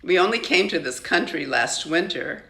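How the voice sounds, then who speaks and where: A woman's voice: lowish voice, expressive, normal speed, bright, forceful tone. An older woman reading the part of a teased, unhappy immigrant schoolgirl, earnest and pleading. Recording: roomy, echoing home recording.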